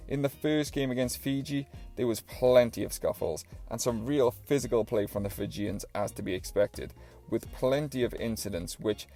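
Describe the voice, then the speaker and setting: A man's voice: fairly low pitch, warm tone. A young Welsh man narrating a documentary in a casual storytelling way, with personal asides. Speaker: documentary narrator and channel host.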